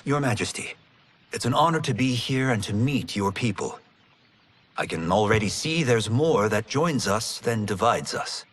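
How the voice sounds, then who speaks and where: A man's voice: lowish voice, expressive, normal speed, slight background noise, natural voice. An actor playing an earnest, curious young champion in a video game, a young man speaking plainly and politely. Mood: respectful. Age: young adult. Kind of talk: video game cinematic acting. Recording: music behind the voice.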